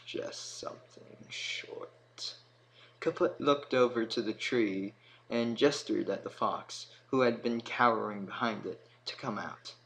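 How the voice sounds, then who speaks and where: A man narrates a storybook tale in a lowish voice at a very unhurried pace. The recording carries audible background noise. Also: gravelly, a bit bright, poor audio.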